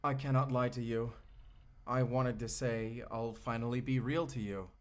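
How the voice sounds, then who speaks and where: A man's voice: mid-range voice, fairly even intonation, normal speed, lightly acted. A man playing a devoted, lovestruck AI companion in a romantic audio roleplay, tender, articulate and formally polite as he speaks intimately to the listener. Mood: earnest, worried.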